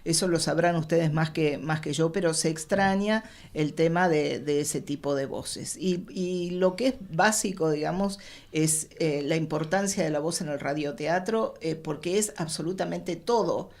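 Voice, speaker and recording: A middle-aged Argentine woman with a low voice answers as an interview guest. She reflects out loud, halting to find her words and then stressing them firmly. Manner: halting, searching for words, emphatic. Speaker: radio theatre specialist, interview guest.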